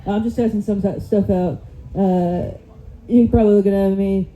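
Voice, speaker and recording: A woman with an American accent doing a stand-up set of quick one-liners on a club stage, with a rambling, self-mocking delivery into a handheld mic. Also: medium pitch, steady tone, warm tone, muffled recording. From United States. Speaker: stand-up comic.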